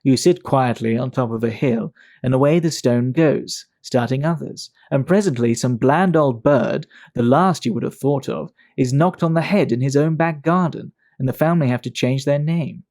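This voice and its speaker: A man playing a worldly, talkative Victorian English gentleman in an audio drama, speaking in a measured, gossipy, fastidious way. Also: medium pitch, close to an everyday voice. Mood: measured, confiding.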